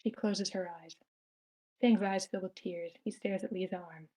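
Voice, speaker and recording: A woman's voice: medium pitch, steady tone, unhurried, dark tone, low-quality recording. A woman reading out scripted stage directions as the narrator at a screenplay table read.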